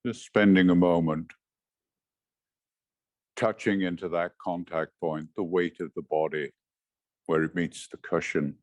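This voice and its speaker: Slow, low-voiced man guiding meditation. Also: fairly even intonation, no echo, studio quality. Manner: gentle, measured instruction. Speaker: meditation teacher. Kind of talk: guided meditation, unhurried with short phrases and long pauses.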